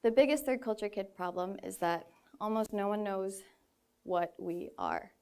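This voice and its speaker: A woman's voice: mid-range voice, very animated, normal speed, dark tone, clear, resonant tone. Young woman giving an earnest stage talk. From Philippines. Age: 20s. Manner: earnest, with light self-deprecating laughs. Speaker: speaker. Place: stage in a large hall.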